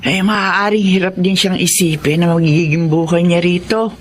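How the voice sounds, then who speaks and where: An actor playing an understanding, soothing family peacemaker in a radio drama. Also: male voice, high-pitched, steady tone, normal speed, slight background noise, lightly acted. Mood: placating. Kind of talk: Tagalog radio drama acting.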